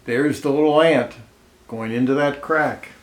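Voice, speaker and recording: A man reading a children's picture book aloud expressively, pausing to comment and ask listeners questions, in an echoey room. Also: medium pitch. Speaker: storybook reader. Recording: roomy, echoey indoor sound.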